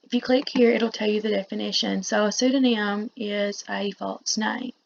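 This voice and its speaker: A woman teacher giving a read-along lecture, reading aloud and pausing to explain in informal asides. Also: mid-range voice, steady tone, vocal fry, a little hiss or hum. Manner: explanatory, pausing to paraphrase the text. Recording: dull, muffled lo-fi recording.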